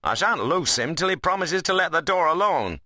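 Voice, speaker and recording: A man's voice: fairly high pitch, big pitch swings, moderate pace, bright, forceful tone, no echo, clean studio sound, voice pushed up, exaggerated voice. A man voicing a principled, plain-spoken workman in an audiobook novel, blunt and earnest in a dialect. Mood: defiant.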